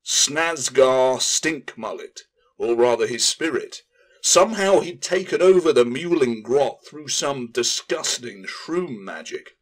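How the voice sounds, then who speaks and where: A man narrates a fantasy audiobook in a medium-pitched voice. His delivery is scripted and dramatic, telling the tale as a storyteller. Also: expressive, takes it slowly, rough recording.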